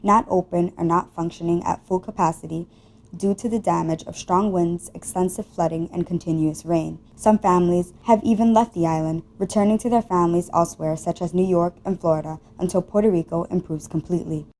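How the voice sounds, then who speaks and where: A woman's voice: on the low side, fairly even intonation, brisk, a little mellow, a little hiss or hum. A young adult woman delivering scripted news narration as a student television reporter.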